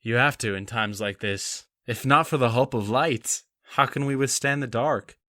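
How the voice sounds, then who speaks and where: A man's voice: mid-range voice, moderate pace, bright, forceful tone, dry recording, natural voice. Man voicing a steadfast dwarf warrior guide, earnest, formal, close dry mic. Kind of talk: audio drama acting.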